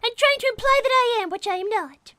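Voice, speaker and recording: A woman's voice: very high-pitched, quick pace, bright, forceful tone, no room sound. A woman voicing a naive young girl rabbit who speaks formally, earnestly and theatrically in an improvised comedy roleplay. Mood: indignant, distressed. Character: Cream, prim naive young girl rabbit, dramatically indignant.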